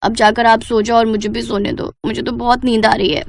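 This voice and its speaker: A woman's voice: fairly high pitch, little pitch movement, fairly quick, natural voice. A woman voicing a lazy, cheeky young daughter-in-law with a whiny, insolent manner in a comedy cartoon. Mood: sleepy, defiant. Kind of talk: Hindi comedy cartoon dialogue.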